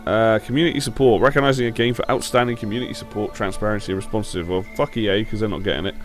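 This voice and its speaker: Male gaming host, lowish voice, a bit croaky with a sore throat, informal and blunt, soft music behind. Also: fairly even intonation, very fast. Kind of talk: casual opinion commentary on video games.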